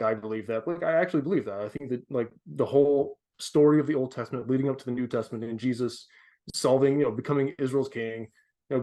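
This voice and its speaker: A man's voice: medium pitch, dark tone. A young man talking earnestly as a podcast guest, emphatic and full of filler words. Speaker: guest contributor. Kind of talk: conversational explanation of faith.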